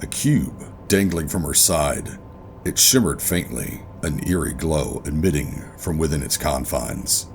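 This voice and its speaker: An actor playing a hardened, wary operative who narrates a sci-fi horror audio drama in a brooding, deliberate, terse way. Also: male voice, very deep voice, lively intonation, a little hiss or hum, exaggerated voice. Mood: tense, brooding. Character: hardened covert operative narrating, adult man, wary and steady. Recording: music behind the voice.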